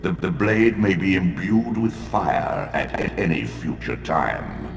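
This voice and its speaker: An actor playing a deep, commanding ancient male elder god, speaking in a solemn, ominous, declamatory voice with booming reverb for a video game. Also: lively intonation, unhurried. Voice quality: deep, gravelly, echoing. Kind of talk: video game voice acting and narration. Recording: reverberant game audio with music.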